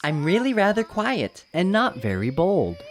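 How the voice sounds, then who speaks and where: Man doing shy seven-year-old girl, raised pitch, animated sing-song rhyme, sensitive, children's storybook. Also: measured pace, heavily acted. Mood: shy, earnest. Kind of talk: children's storybook read-aloud.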